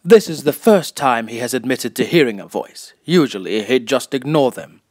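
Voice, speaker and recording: A man playing a wry, taunting narrator who is a voice in someone's head, delivering deliberate scripted dramatic narration. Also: mid-range voice, lively intonation, natural voice. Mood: wry, chiding. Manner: wry, deliberate, mocking storytelling.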